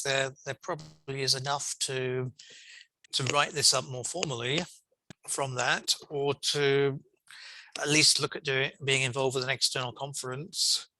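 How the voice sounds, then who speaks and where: A man chairs an online session in a very bright, crisp-edged voice. The sound is close and dry, with little room on it. He talks informally and encouragingly, wandering a little as he goes. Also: medium pitch, no room sound, rough recording. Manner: encouraging, rambling feedback. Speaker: conference host and session chair. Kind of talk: informal chairing and feedback over video call. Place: online video call.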